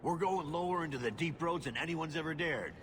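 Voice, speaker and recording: A man voicing a gruff, suspicious, money-minded dwarf expedition boss in a video game, blunt and brusque. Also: on the high side, fairly quick, background noise, natural voice. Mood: gruff, businesslike. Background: loud music.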